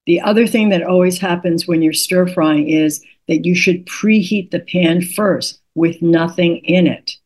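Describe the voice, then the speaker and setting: An older woman, a cookbook author, patiently explaining cooking tips in an interview, her voice slightly raspy today. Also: lowish voice, measured pace, hardly any pauses, a little mellow. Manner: patient, instructive, methodical.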